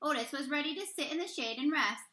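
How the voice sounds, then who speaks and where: A woman reading a children's picture book aloud expressively, with deliberate, dramatic emphasis on key words. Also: sits high, pushed and bright, echoey room. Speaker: read-aloud storyteller, teacher reading to children.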